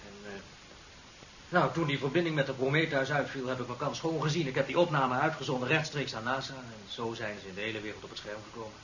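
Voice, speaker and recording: A man acts a submissive, nervous male technician. The mid-pitched voice falters and stammers through hesitant phrases. It is heard on a muffled 1970s radio recording with slight hiss. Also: quick pace, a bit bright, lightly acted. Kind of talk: 1970s Dutch radio drama acting.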